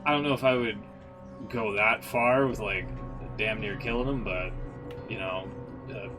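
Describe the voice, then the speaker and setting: A male streamer with a low voice chats casually and off the cuff. He is blunt and swears freely, and music plays clearly behind him. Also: measured pace. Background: background music.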